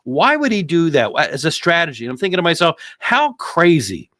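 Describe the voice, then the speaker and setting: A man's voice: fairly high pitch, huge pitch swings, fairly quick, a bit bright, no room sound, studio quality. A man hosting a legal commentary show, talking conversationally, often repeating phrases and posing rhetorical questions.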